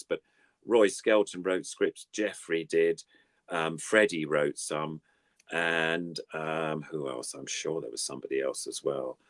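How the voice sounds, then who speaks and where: An older English man with a low, deep voice, recorded close and dry. He talks casually and at length, wandering through anecdotes like a guest looking back on his working life. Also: a bit bright, no room sound. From United Kingdom. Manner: chatty, anecdotal, rambling. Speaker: puppeteer, interview guest. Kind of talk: casual reminiscing chat.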